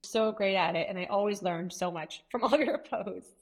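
A woman's voice: mid-range voice, moderate pace. Effusive woman podcast host. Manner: enthusiastic, effusive praise. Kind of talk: interview hosting.

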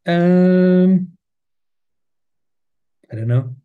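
A man's voice: high voice, drawn-out words, clean tone, phone-quality sound. A middle-aged Swiss man with a French accent, speaking English as a guest in a podcast interview, often repeating words as he restarts and stressing what he cares about. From Switzerland. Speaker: guest, cheese marketing head. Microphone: remote, on the road.

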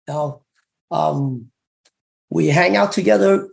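A middle-aged man from Brooklyn with a slight Cantonese-tinged New York accent, telling his story in an interview, halting and full of fillers and restarts. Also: fairly high pitch, creaky. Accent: Cantonese-tinged New York English. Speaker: interview guest, former gang member.

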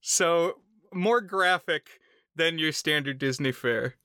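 A man's voice: a little high, slow pace, bright, forceful tone, clear, resonant tone, no room sound, clean studio sound. An American man co-hosting a casual film discussion in a wry, articulate, explanatory way. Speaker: podcast co-host.

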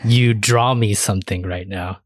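A man playing a young, easygoing college librarian in an improvised comedy sketch, with a halting, bemused manner. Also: on the low side, fairly even intonation, no room sound, studio quality, natural voice. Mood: friendly, indulgent. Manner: halting, repeats words, bemused.

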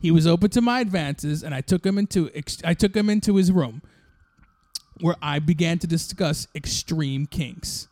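High-pitched podcast dude riffing a ridiculous made-up spy story, dry close sound, keeps chuckling. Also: male voice, normal speed, darkish tone, no room sound. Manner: breezy mock-earnest narration, chuckling. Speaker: podcast co-host. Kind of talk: improvised comic storytelling.

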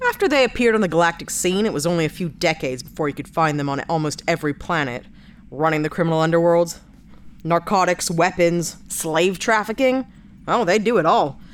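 A woman's voice: lowish voice, brisk, a little hiss or hum, natural voice. Woman playing a dry, cynical starship captain. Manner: wry, sarcastic, no-nonsense. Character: dry, cynical, practical female spaceship captain. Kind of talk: sci-fi audio drama acting.

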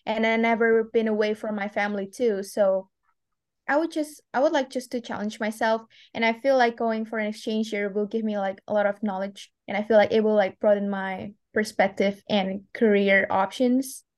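A woman's voice: medium pitch, fairly even intonation, moderate pace, darkish tone. A young woman from Indonesia with an Indonesian accent, an exchange student answering interview questions casually. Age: teens to young adult.

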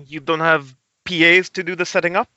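A man's voice: on the high side, level tone, fairly quick, pushed and bright. A man reading the part of an awkward film crew colleague in an amateur table read over an internet call. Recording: muffled internet call audio.

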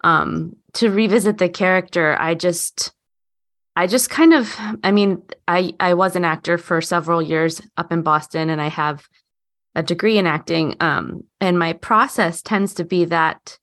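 A woman's voice: lowish voice, measured pace, a bit bright. A woman chatting reflectively and casually in a roundtable, speaking in an earnest, heartfelt way. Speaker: podcast guest, actor.

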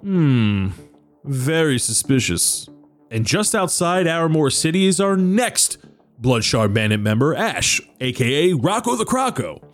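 A man's voice: on the high side, a little slow, soft background music. A man who is the dungeon master of a tabletop game, wrapping up the session with plenty of laughter and then narrating short fantasy stories about supporters. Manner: laughs often, animated.

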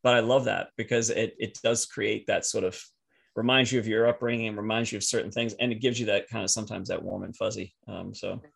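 A man whose first language is French, telling personal stories casually as a podcast guest in a halting, reflective, easily amused way. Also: lowish voice, steady tone, creaky, fairly bright tone, husky.

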